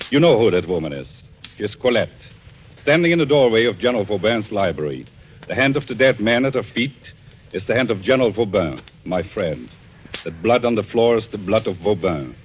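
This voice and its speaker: An actor playing a vengeful, coolly controlled man in a 1940s radio suspense drama, speaking deliberately and theatrically. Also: on the low side, lively intonation, a little hiss or hum, natural voice. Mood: cold, accusing. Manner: deliberate, theatrical, quietly menacing. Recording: old radio recording with music.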